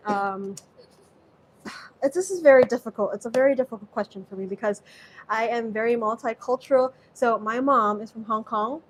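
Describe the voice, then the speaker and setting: A woman from Southeast Asia with a slightly high voice hosts a live cooking stream from her home kitchen. She rambles chattily, restarts her sentences and reads viewer comments aloud, over a faint hum of room noise. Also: low-quality recording. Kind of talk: casual live-stream chat while cooking.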